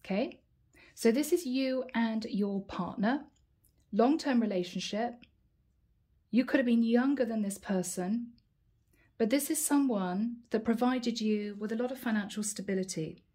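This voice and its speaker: A woman with a fairly high voice talks her viewers through a tarot spread, chatty and familiar. Her voice is a touch hoarse, as if worn from many readings. She is recorded close up at a table in a room at home. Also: measured pace. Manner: chatty, warm address to viewers, frequent "guys" and "okay". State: slightly hoarse, losing her voice. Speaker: tarot reader. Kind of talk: casual tarot reading to camera.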